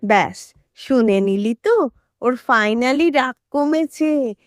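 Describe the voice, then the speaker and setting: A cheerful, motherly aunt talks in a high voice, stretching her words slowly. She teases fondly, in audio drama style, and sounds close and dry. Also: expressive, dry recording. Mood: amused, teasing. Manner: drawn-out, teasing, motherly. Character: cheerful teasing middle-aged aunt.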